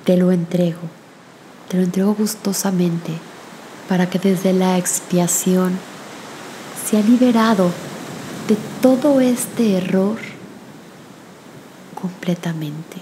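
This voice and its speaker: A woman leading a guided meditation and prayer in a soft, gentle, soothing manner with long pauses between phrases. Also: fairly low pitch, slight background noise, poor audio.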